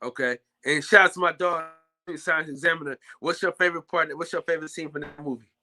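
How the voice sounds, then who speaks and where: A young man hosting a casual live-stream chat, loudly shouting out viewers by name. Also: a little high, steady tone, fast talker. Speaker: podcast host.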